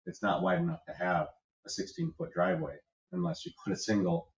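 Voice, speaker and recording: A man's voice: on the high side, sing-song, fairly quick, vocal fry. A man making his case to a zoning board as the applicant, earnest and insistent. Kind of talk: pleading a case at a public meeting. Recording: echoey room, distant muffled mic.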